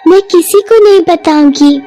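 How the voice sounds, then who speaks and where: A woman's voice: extremely high pitch, moderate pace, mellow, soft background music, voice pushed up. A woman voicing a timid, eager little schoolgirl in a cartoon story.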